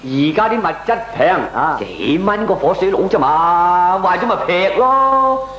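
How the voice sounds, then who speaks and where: A good-humoured, thrifty everyman explains things in a Hong Kong accent. The voice is fairly high and very animated, in the expressive manner of a comic radio play, with clear room echo. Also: a little slow, exaggerated voice. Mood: explaining. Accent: Hong Kong Cantonese. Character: frugal, talkative, good-humoured ordinary man. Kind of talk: comic radio drama acting. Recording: echoey old radio drama recording.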